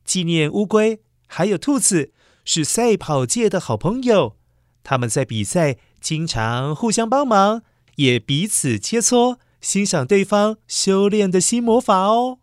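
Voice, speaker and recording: A man narrates a children's story in an expressive, dramatic way, with exclamations and sound words. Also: a little high, no echo, studio quality. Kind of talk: animated scripted children's storytelling. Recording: music behind the voice. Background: music.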